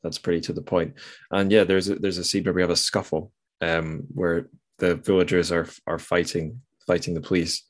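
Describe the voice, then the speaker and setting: A man's voice: sits low, little pitch movement, normal speed, no echo, studio quality. A man hosting a casual literary discussion podcast, thinking aloud in a tentative, hedging way. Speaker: podcast host.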